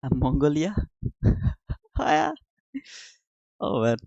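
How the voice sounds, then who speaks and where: A man's voice: fairly high pitch, huge pitch swings, slow pace, creaky, darkish tone, no echo. A man from Bangladesh commenting casually on his live trading chart and chatting with viewers in the chat. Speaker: trading streamer. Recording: dull lo-fi dry sound.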